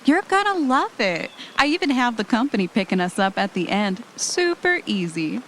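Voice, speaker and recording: A woman's voice: on the high side, big pitch swings, normal speed, fairly bright tone, slight background noise. A woman playing an anxious but adventurous podcaster on vacation in an audio drama. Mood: upbeat, coaxing.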